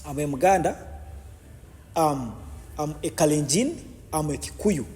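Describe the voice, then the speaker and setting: Man, high pitched, halting and repetitive, long hall echo. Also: animated, mellow. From Uganda. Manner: repetitive, many false starts and restarts. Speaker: podcast guest. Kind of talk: explanatory interview answers.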